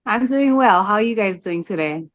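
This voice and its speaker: A woman's voice: medium pitch, dry recording. Woman in her forties, candid. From Hawaii. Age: 40s. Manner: relaxed, candid, with frequent "um" pauses. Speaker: interview guest. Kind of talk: casual interview answers.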